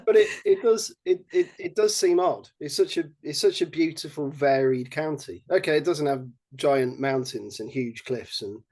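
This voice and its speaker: A man with an English accent chatting as a podcast guest about his research project, talking haltingly with many fillers and restarts. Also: a little high, a little mellow. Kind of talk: casual podcast chat.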